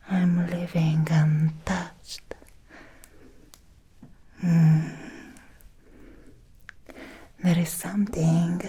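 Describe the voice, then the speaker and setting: A woman playing an obsessed, seductive Victorian vampire in an ASMR roleplay, whispering slowly and intimately with tender menace. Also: low-pitched, background noise. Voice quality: breathy soft whisper. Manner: slow, deliberate, intimate, teasing with drawn-out pauses.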